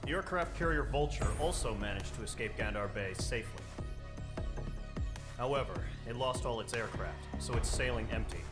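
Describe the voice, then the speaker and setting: A man's voice: mid-range voice, natural voice. Man as formal military briefing officer over music. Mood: grave, formal. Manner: formal, measured. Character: formal, resolute military commander giving a mission briefing. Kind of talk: scripted military briefing narration. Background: background music.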